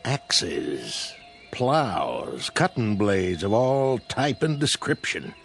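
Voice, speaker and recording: A man's voice: lowish voice, big pitch swings, very slow, vocal fry, fairly bright tone, soft background music, heavily acted. An actor playing a suspicious small-town country man with a rural American accent, drawling and insinuating in a radio drama. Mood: wary, folksy.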